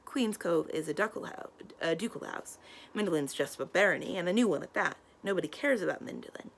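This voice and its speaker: A woman's voice: on the low side, lively intonation, brisk, creaky, a little hiss or hum. A woman voicing a guarded, direct young girl training as a page in a storybook, speaking in blunt questions. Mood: demanding.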